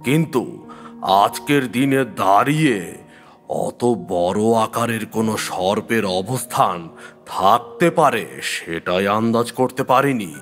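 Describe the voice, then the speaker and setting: Casting: a man as a grave, composed occultist narrator, deep-set pitch and unhurried, deliberate pacing for horror audio drama. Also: a bit bright, soft background music. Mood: grave, reflective. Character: calm male tantric occultist recounting a village horror.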